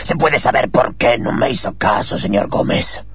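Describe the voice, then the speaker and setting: A man voicing a sinister old laboratory boss in a radio drama, slow, formal and hushed, with a cough. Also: medium pitch, animated, gravelly, husky, no room sound, voice pushed down, full character voice. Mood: cold menace.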